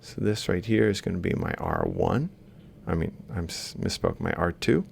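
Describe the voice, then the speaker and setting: A man's voice: deep voice, wildly swooping pitch, normal speed, creaky, a little mellow, slight background noise. A man lecturing informally, thinking aloud as he explains step by step while drawing. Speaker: robotics lecturer.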